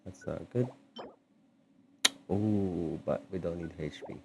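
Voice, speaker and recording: A man giving casual, low-key, hesitant livestream commentary while he plays a video game. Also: slow pace, creaky, background noise. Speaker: game streamer. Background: game music.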